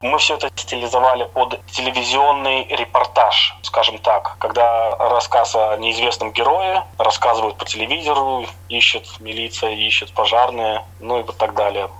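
A man's voice: mid-range voice, normal speed, hardly any pauses, sharp tone, low-quality recording. A man from Riga speaking conversationally as a guest in an interview about his work. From Riga, Latvia. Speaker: interview guest, amateur theatre actor.

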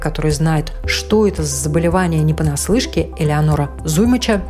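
A woman with a slightly low voice narrates as a radio presenter. Her delivery is scripted and informational, with faint music around it. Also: darkish tone. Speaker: radio health programme presenter.

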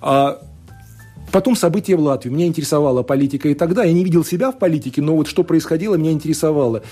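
Hesitant husky-voiced man guest. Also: a little high, rapid-fire, mellow, faint music behind. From Latvia. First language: Russian. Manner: thoughtful, hesitant, many fillers and restarts. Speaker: guest, law scholar and politician. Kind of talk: radio interview answers.

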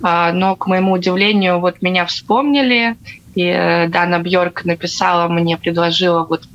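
A young woman from Latvia telling her own story casually as an interview guest, with frequent hesitant pauses. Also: on the low side, fairly even intonation, a bit bright, dull audio. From Riga, Latvia. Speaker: guest, theatre accordionist.

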